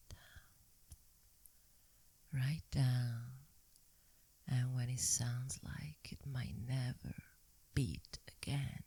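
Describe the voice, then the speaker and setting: A woman playing a seductive, dominant vampire mistress in an ASMR hypnosis roleplay, whispering slowly and breathily with a teasing, coaxing, commanding manner. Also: a bass voice, husky, no room sound, exaggerated voice. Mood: commanding, menacing. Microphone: close microphone in a dry space.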